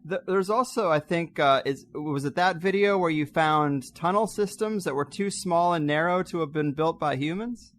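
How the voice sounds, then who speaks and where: A man's voice: a little high, moderate pace, hardly any pauses, a bit bright. A man hosting a podcast, interviewing in a casual, conversational style.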